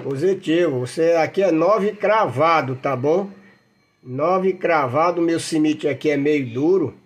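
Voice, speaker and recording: A man's voice: a little high, unhurried, slight background noise. A Brazilian man chatting casually as an amateur radio operator, enthusiastic and folksy, full of slang and jargon. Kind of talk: casual radio contact chat with running commentary.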